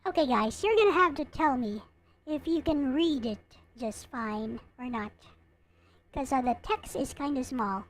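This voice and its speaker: A woman's voice: high voice, expressive, unhurried. A woman chatting casually to her viewers as she livestreams a game, talking to them directly. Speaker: live streamer. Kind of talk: casual livestream chat while playing and reading aloud a visual novel. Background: game music.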